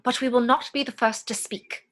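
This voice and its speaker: A woman's voice: a little high, fairly even intonation, normal speed, a bit bright, natural voice. A woman playing a haughty, dignified young society lady with formal, emphatic delivery in a comic stage play reading. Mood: haughty dignity. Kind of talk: dramatic reading of Victorian comedy play.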